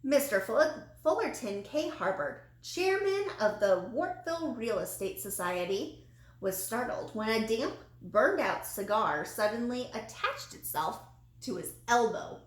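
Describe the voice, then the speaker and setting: A woman reading a children's storybook aloud in an expressive, animated way with dramatic emphasis. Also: on the high side, measured pace. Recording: roomy, echoey sound.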